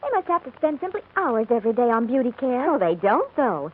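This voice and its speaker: A gushing young movie fan in a 1940s radio commercial. Her high voice swoops with emphatic admiration over a tinny, narrow recording. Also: big pitch swings, fairly quick, breathy edge. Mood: starstruck. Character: gushing, starstruck young woman at a movie premiere.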